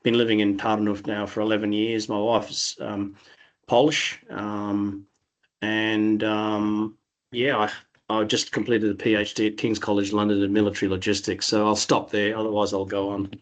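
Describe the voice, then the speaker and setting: Middle-aged Australian man, low-ish voice, talkative interview guest who explains things at length. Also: little pitch movement, normal speed. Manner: chatty, self-correcting, explains at length. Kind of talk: relaxed conversational interview talk.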